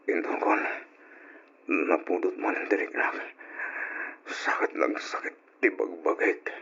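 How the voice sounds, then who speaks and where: A man's voice: low voice, fairly even intonation, takes it slowly, fairly bright tone, slightly rough, exaggerated voice. An actor playing an ailing, stern but loving old rural father in an Ilocano radio drama, weary and admonishing. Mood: ailing, pained. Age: older. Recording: dull lo-fi old radio recording.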